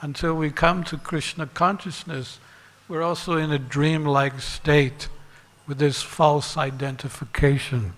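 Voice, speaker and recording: Slow-paced man preaching in a reverberant hall. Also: a little high, steady tone, a bit bright. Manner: deliberate, pausing and repeating phrases for emphasis. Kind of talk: sermon with parables and rhetorical questions. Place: large reverberant temple hall.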